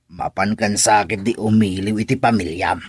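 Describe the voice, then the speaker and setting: A man acting in a radio drama as a kindly, fatherly older villager, with an Ilocano accent, a husky voice and a measured, counselling manner. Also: fairly low pitch, steady tone, dry recording, studio quality.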